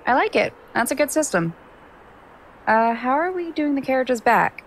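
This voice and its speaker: A woman playing a brisk, self-assured, take-charge character in an audio drama. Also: sits high, big pitch swings, slight background noise, rough recording. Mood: brisk, composed.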